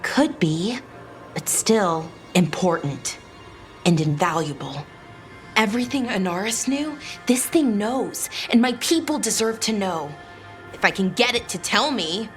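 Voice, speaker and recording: An earnest young woman scholar acted for a video game. She talks in a lively, slow, halting way, curious and awkward, over faint music. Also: medium pitch, close to an everyday voice. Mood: uneasy, earnest. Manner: hesitant, thinking aloud, chatty.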